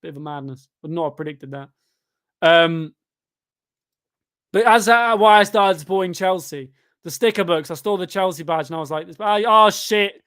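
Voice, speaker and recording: A twenty-year-old man from Devon with a Devon accent, chatting casually and rambling on a football watchalong stream, with sudden loud outbursts at chances. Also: high-pitched, normal speed, smooth. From England. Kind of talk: casual live-stream chat reacting to a match and reading chat.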